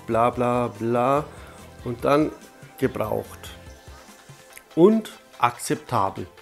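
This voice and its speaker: A man's voice: mid-range voice, creaky. A man with a cold walks the viewer through an online tutorial in a friendly, direct way. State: has a cold. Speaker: YouTube channel host. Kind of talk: casual step-by-step tutorial.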